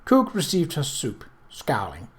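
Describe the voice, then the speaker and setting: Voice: mid-range voice, wildly swooping pitch, measured pace. A person reading a novel aloud as scripted narration, occasionally stumbling over words and rereading them. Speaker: audiobook reader.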